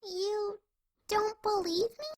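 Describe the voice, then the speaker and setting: A woman voicing a cheerful, excitable young female pony in a cartoon style, bubbly and giggly. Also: very high voice, takes it slowly, no room sound, clean studio sound. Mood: insistent. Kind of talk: cartoon character acting in fan fiction reading.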